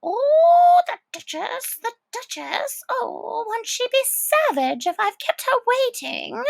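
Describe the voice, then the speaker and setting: A woman's voice: very high-pitched, very animated, slow pace, creaky, no room sound, pitched above the performer's own voice, exaggerated voice, rough recording. A woman voicing an anxious, flustered male rabbit who frets and repeats himself in a storybook reading. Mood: panicked fretting. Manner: fretting, exclaiming, repeating himself.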